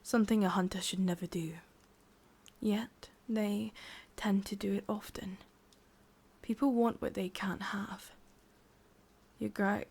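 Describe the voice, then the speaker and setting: Breathy whispering English witch, played by a woman. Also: mid-range voice. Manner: soft, intimate whispering, teasing and unhurried. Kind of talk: whispered ASMR roleplay.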